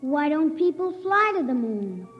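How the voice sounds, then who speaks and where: A woman's voice: high voice, big pitch swings, unhurried. A woman playing a curious, dreamy little boy in a 1950s radio drama. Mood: wondering. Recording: dull, reverberant radio recording, music behind.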